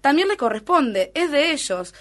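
An actress playing an exasperated, slightly obtuse wife with a Rioplatense Argentine accent in a comedy radio sketch. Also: female voice, high-pitched, very animated, moderate pace, fairly bright tone. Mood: puzzled, insistent.

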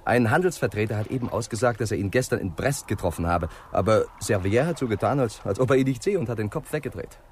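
An actor playing an earnest, deferential junior police inspector in a radio crime drama, speaking haltingly and tentatively. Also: male voice, fairly low pitch, expressive, a little mellow, slight background noise, natural voice. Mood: reporting. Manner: halting, tentative, puzzling things out. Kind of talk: 1960s German radio crime drama acting.

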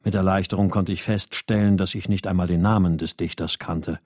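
An actor playing a troubled husband and writer, narrating a literary radio drama in a measured, sombre first person. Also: male voice, deep voice, fairly even intonation, darkish tone, no echo, clean studio sound. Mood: sombre, reflective. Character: troubled husband, a writer, recounting his story.

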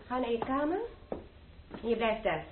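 A woman's voice: a little high, animated, normal speed, reverberant, background noise, lightly acted. An actress playing a haughty, jealous young lady who commands and scorns in a radio play, heard on a tinny old recording. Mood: commanding. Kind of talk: radio drama acting.

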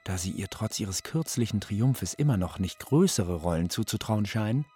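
A man's voice: lowish voice, lively intonation, mellow, slightly rough. An actor playing a courteous yet menacing male opera phantom, ceremonious and demanding, in for a radio play. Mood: imperious, formal. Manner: ceremonious, deliberate. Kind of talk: German radio play, letter monologue. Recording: studio voice over music. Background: music.

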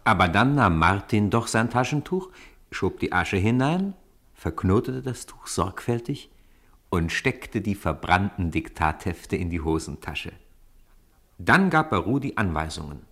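A man with a deep voice narrates a radio play. His delivery is measured, the way a storybook is read aloud. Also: sing-song, fairly bright tone, husky.

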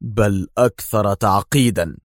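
A man's voice: mid-range voice, brisk, dry recording, clean studio sound, close to an everyday voice. Man acting a hot-tempered, indignant spy. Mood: angry demanding. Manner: indignant, nervous, argumentative. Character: hot-tempered, suspicious male foreign agent, easily outraged.

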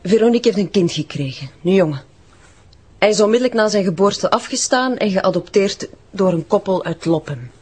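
A woman's voice: mid-range voice, expressive, fairly quick, darkish tone, breathy edge. A woman playing a defiant, surly young drug addict with a Flemish accent in a crime drama. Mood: evasive, grudging. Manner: defiant, evasive. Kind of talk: crime audio drama acting.

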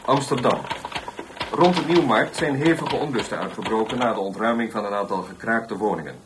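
An actor playing a formal, neutral radio newsreader delivering a scripted bulletin in a radio drama. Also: male voice, fairly low pitch, brisk, close to an everyday voice. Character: formal, impersonal radio newsreader reporting riots. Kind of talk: scripted news reading. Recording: noisy, clicking radio broadcast.

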